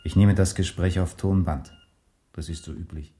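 An actor playing a calm, methodical police major in a radio drama, measured and matter-of-fact with a dry weariness. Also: male voice, deep voice, natural voice. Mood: formal, calm. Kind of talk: 1980s German radio drama acting.

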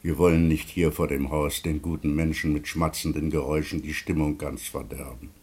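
An actor playing a solemn, courteous god of wealth in a German radio play, with stately declamation. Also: male voice, a bass voice, level tone, normal speed, a little mellow, slightly rough. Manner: stately, formal.

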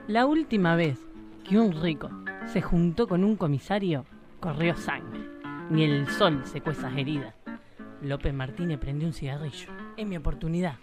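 A woman's voice: sits low, normal speed, over music, lightly acted. A woman playing a tough, nosy small-town narrator of a crime tale in a radio play, dramatic and hard-boiled. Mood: ominous, watchful. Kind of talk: live radio play acting.

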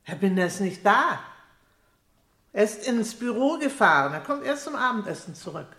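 A woman's voice: medium pitch, animated, moderate pace, fairly bright tone, breathy edge. A woman acting a garrulous, good-natured housekeeper in a crime radio play, talking in a homely, folksy way. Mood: matter-of-fact.

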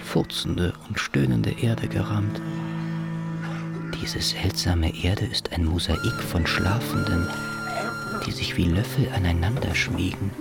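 Fatalistic soldier, deep creaky voice, detached, radio drama monologue, loud music underneath. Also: male voice, lively intonation, slow, exaggerated voice. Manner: matter-of-fact, fatalistic. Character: resigned male soldier recounting war memories, detached.

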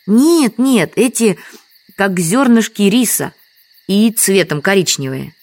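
An actress playing a meek, apologetic and quietly stubborn village hostess in an audio drama. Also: female voice, medium pitch, lively intonation, slow, no echo. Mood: patient explaining. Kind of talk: Russian audio drama dialogue.

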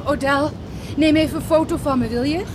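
An actress playing a detective's coaxing, inquisitive companion in radio drama dialogue. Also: female voice, fairly even intonation, brisk, close to an everyday voice. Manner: coaxing, persistent. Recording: outdoor and car rumble behind.

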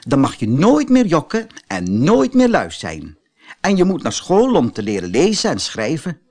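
A kind motherly fairy, as a man voices her, speaking raised and soft but firm, a little husky. She reassures patiently, like a storybook guardian. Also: on the high side, wildly swooping pitch, moderate pace, pitched above the performer's own voice. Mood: gentle. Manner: gentle, reassuring.

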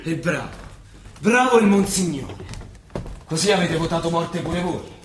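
Defiant young Roman rebel. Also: male voice, on the high side, animated, measured pace, distant mic. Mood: bitter. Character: defiant, proud young male revolutionary. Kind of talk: stage-style radio drama acting. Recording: echoey, very noisy stage recording.

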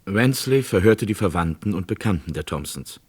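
A man narrating a scripted true-crime radio drama in a measured, matter-of-fact way. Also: deep voice, expressive, breathy edge.

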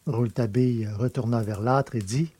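A man reading scripted literary narration as an audiobook narrator, with a mellow, slightly husky voice. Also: mid-range voice, normal speed.

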